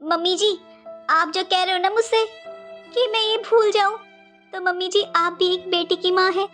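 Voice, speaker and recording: Woman acting very shrill-pitched indignant young daughter-in-law. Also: steady tone, normal speed, a bit bright, faint music behind. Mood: anguished, reproachful. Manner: accusing, dramatic, rhetorical questioning. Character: indignant young daughter-in-law defending her jilted sister. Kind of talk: Hindi cartoon family melodrama voice acting.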